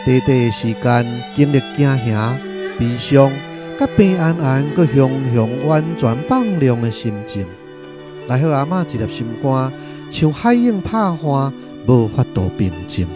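A man's voice: medium pitch, expressive, unhurried, music in the background. A man with a Taiwanese accent narrating a literary radio drama from a script in a dramatic style.

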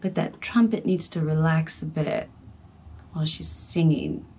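A woman musician giving casual reaction commentary in a calm, soft-spoken way with playful asides. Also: deep voice, measured pace, husky.

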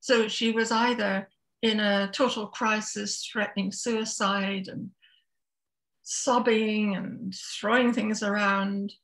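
A woman's voice: mid-range voice, level tone, slow, a bit bright, rough recording. A woman in her seventies from Scotland, telling her story as an interview guest in a thoughtful, hesitant, conversational way. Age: 70s. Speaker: interview guest, author and therapist.

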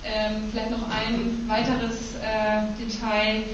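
A woman's voice: fairly high pitch, completely flat delivery, slow, noisy background. A young woman moderating a panel, introducing guests hesitantly with frequent fillers. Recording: echoey distant room mic, dull sound.

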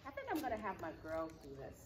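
A woman's voice: lowish voice, fast. Chatty woman vlogging outdoors. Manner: chatty, laughs often, lively when reminiscing. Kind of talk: casual vlog chat. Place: outdoor courtyard. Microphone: camera mic. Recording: outdoor camera audio with noise. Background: outdoor ambience and animals.

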